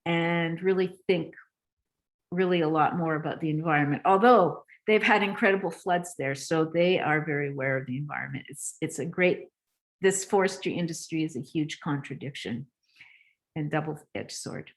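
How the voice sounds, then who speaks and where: A woman artist describes her exhibition over a video call. Her voice is somewhat low, and her manner is reflective and unhurried.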